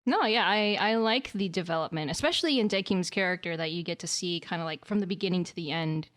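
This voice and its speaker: A woman's voice: on the low side, fast talker, a bit bright. A woman co-hosting a podcast, chatting casually and rambling, with lots of fillers and laughter. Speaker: podcast co-host. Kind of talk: casual anime discussion.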